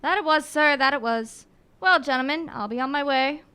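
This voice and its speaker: A woman plays a village postal carrier in a radio drama, chatty and folksy. The voice is high and bright, and likes to say things twice for emphasis. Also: normal speed. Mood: grave. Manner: folksy, repeats phrases for emphasis. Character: chatty village postal carrier and bell-ringer, plain-spoken.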